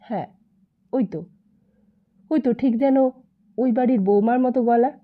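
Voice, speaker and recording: A woman's voice: fairly high pitch, normal speed, phone-quality sound. A woman narrating a scripted story as a dramatic audiobook reader.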